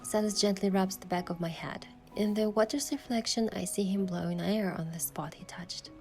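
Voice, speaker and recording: Woman voicing a young game heroine, mid pitch, affectionate n playful, telling her romance over soft music. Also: fairly even intonation, moderate pace, lightly acted. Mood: tender. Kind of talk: romance game narration and dialogue.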